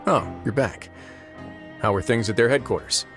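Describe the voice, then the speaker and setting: An actor playing a calm, shrewd male duke in a video game, speaking in a measured, deliberate way with dry humor. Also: medium pitch, music in the background, natural voice.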